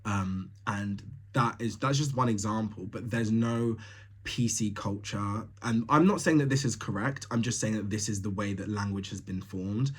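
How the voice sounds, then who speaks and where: A man's voice: on the low side, moderate pace, slightly rough. A young man from Tanzania hosting a solo podcast, talking casually and bluntly, laughing often with lots of fillers. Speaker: podcast host.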